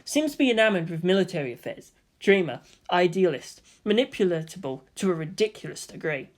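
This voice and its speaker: A woman's voice: lowish voice, sing-song, brisk. Woman reading as a serious, reserved teenage boy. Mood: calculating. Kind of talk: fan fiction character reading.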